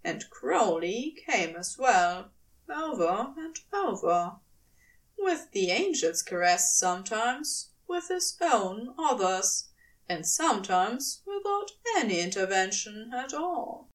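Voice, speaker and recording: A woman's voice: medium pitch, sing-song, takes it slowly, recorded from a distance. A woman reading scripted fan fiction as its narrator.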